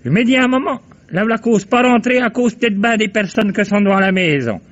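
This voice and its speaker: An actor playing a wary old Parisian cab driver in a radio drama, with speech, hesitant and rambling. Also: male voice, high-pitched, fairly quick, a bit bright. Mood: rambling recollection. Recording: dull vintage radio recording.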